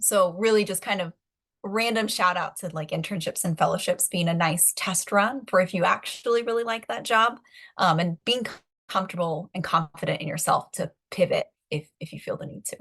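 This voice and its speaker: Young woman on a webinar panel, kinda low voice, chatty with lots of ums. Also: normal speed, a bit bright. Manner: chatty, many fillers and likes. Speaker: advocacy organizer, webinar panelist. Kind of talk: casual panel answer.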